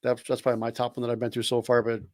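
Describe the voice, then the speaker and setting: A man's voice: medium pitch, monotone, racing, dry recording, clean studio sound. A man co-hosting a casual podcast, giving blunt opinions in a laid-back way. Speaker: podcast co-host.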